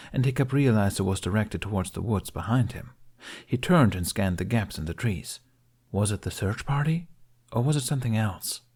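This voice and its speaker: A man reading a fantasy story aloud as narrator, in an unhurried, measured way. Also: fairly low pitch, a little mellow, husky. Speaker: fan fiction audiobook reader.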